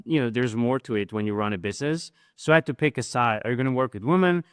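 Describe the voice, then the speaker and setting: A man's voice: mid-range voice, quick pace, clear, resonant tone, no echo, studio quality. A middle-aged man from Switzerland, a coach speaking as a guest in a casual interview, earnest and fluent, often tagging "right?".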